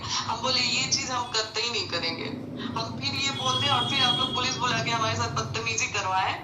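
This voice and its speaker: A woman arguing her case on a recorded call, insistent and aggrieved, pressing rhetorical questions. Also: a little high. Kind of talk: phone call, pleading argument. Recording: echoey, distant phone-call recording with faint noise.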